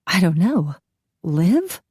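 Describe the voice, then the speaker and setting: A woman's voice: on the low side, sing-song, takes it slowly, a little mellow, breathy edge, dry recording, studio quality, heavily acted. A woman voicing a young female police officer who speaks firmly and urgently. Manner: firm, urgent, commanding.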